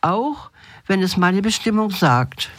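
A woman's voice: mid-range voice, animated, slow, dry recording, pitched above the performer's own voice. A woman voicing a dejected, timid grey spirit in a fairy tale, hesitant and sorrowful. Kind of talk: fairy tale read aloud.

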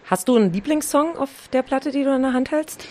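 A woman's voice: high voice, fairly quick, a little mellow. A young German woman chatting casually as a co-host, self-deprecating and full of fillers. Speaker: co-host, songwriter in a band. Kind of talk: casual radio chat.

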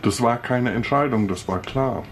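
A man in his sixties, a philosophy professor, answering interview questions calmly and matter-of-factly. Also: medium pitch, a little slow, slight background noise. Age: 60s.

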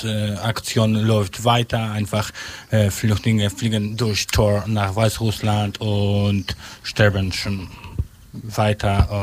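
A man, an activist speaking halting, language with broken grammar. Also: on the low side, a little hiss or hum. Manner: halting non-native speech with broken grammar. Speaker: member of a small refugee aid group.

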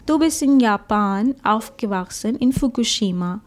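A woman's voice: on the high side, lively intonation, measured pace. A woman interviewer asking questions in a conversational style.

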